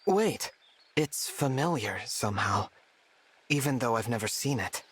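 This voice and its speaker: A man playing a gentle, earnest young divine hero in a video game, soft-spoken and formal in manner. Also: mid-range voice, lively intonation, measured pace, a little hiss or hum, lightly acted. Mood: thoughtful, sincere. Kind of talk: scripted video game voice acting.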